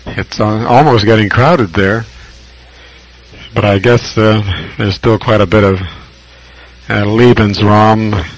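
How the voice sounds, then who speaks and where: American man, lowish voice, amateur radio operator, casual conversation, halting and reflective, long pauses, vintage shortwave radio sound. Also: fairly even intonation, low-quality recording. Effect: shortwave radio transmission.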